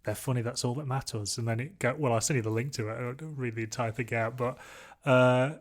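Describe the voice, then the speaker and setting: A man's voice: medium pitch, steady tone, a little fast. A man from England hosting a podcast, chatty and rambling, often laughing. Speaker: podcast host. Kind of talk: casual podcast conversation.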